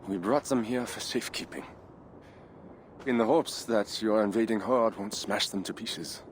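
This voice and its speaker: An actor playing a proud medieval Frankish count in a dramatic game cutscene, formal, solemn and defiant. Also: male voice, on the low side, normal speed, a little hiss or hum, natural voice. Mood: bitter, brooding. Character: proud, doomed medieval Frankish count defending his city.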